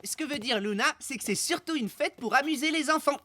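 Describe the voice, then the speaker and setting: Sensible, high-voiced cartoon boy. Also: quick pace, fairly bright tone, slight background noise. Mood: matter-of-fact, reluctant. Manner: matter-of-fact, reluctant. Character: sensible, slightly grumpy boy, bookish stargazer brother. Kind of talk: French animated cartoon dubbing.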